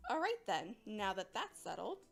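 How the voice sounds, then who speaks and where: An actress plays a woman botany teacher in an audio drama, addressing the class in a mid-pitched voice. The manner is cheery and brisk, with mock-stern teasing, and music plays behind. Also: wildly swooping pitch, creaky, slightly rough, exaggerated voice.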